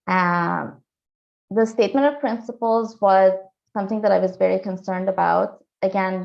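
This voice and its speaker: A woman in her forties, a lawyer from Iran, telling her story in an earnest, steady interview answer. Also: lowish voice, vocal fry. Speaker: lawyer and candidate. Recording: dull lo-fi audio.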